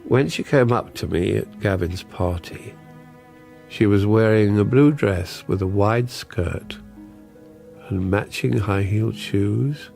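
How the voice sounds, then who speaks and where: An actor playing a reflective older English barrister, measured, clipped and wistful, in a radio drama. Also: male voice, fairly low pitch, vocal fry, a little mellow, faint music behind. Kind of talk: radio drama acting, introspective monologue and dialogue.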